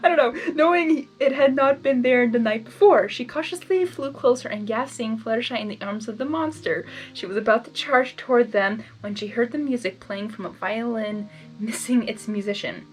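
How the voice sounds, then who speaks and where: A woman's voice: a little high, moderate pace, soft background music, poor audio. A woman reading a story aloud as an amateur, with casual, giggly, self-deprecating asides and stumbles over words. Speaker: amateur fan fiction reader.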